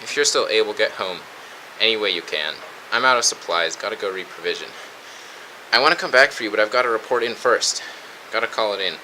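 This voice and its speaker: Man acting as weary low-voiced soldier, hissy recording. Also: a little fast. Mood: weary, desolate. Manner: terse, military radio talk. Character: weary, cautious male soldier surviving after an apocalypse. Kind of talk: post-apocalyptic audio drama acting over radio. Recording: steady hiss, noisy radio-style recording.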